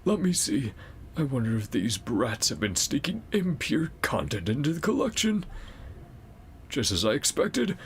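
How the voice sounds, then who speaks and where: A man's voice: medium pitch, sing-song, unhurried, slight background noise. A man playing a grumpy, prudish old guardian in a game, pompous and indignant. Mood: grumbling.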